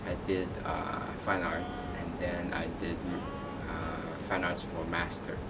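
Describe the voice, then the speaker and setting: A man's voice: sits low, level tone, very noisy. Hesitant man, mic set back. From Taiwan. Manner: thoughtful, halting, many fillers and restarts. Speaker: artist and art teacher. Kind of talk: interview answers. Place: gallery. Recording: distant mic with music underneath.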